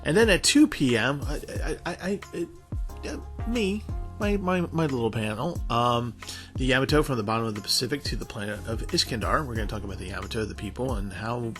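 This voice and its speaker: A man talks informally, as if hosting a small online show, with a mid-pitched voice. He rambles through announcements and repeats words as he stumbles along. Faint music plays behind him. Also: a little fast. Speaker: online convention panelist and show co-host. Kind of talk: casual promotional vlog, rambling announcement.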